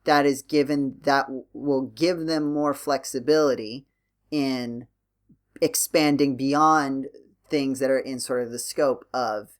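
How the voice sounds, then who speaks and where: A man with a middling-pitched voice hosts a casual podcast chat. He talks in a rambling, hesitant manner, circling back as he works through each point. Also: steady tone, takes it slowly. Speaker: podcast host.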